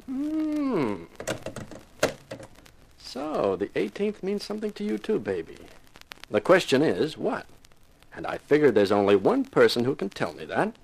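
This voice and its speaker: A man's voice: fairly high pitch, wildly swooping pitch, measured pace, gravelly, pauses often, full character voice. A man playing a tough, wisecracking private eye in a 1950s radio detective drama, with a wry way of talking. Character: wisecracking, hard-boiled male private eye with a grudge. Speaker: lead actor and narrator. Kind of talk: 1950s radio detective drama, first-person narration and dialogue.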